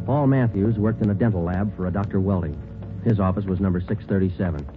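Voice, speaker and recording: Man acting stoic american police sergeant telling his case, deadpan n quick, muffled old radio show w music. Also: low voice, natural voice. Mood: matter-of-fact. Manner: clipped, deadpan, matter-of-fact. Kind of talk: 1940s radio detective drama acting and narration.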